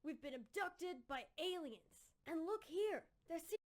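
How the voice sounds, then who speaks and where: High, bickering cartoon youngster. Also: female voice, animated, unhurried, dry recording, studio quality. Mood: excited. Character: bickering young cartoon adventurer, excitable and opinionated. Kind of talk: cartoon parody dub acting.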